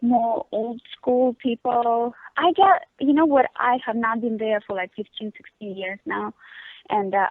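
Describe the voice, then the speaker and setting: A woman in her thirties with a Russian accent talks as a phone-in guest, giggling and chatting loosely. Her voice sits at an ordinary middle pitch. It comes through a thin, tinny telephone line with no room sound. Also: no echo. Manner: giggly, chatty, leans on "like" fillers. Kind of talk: casual phone interview.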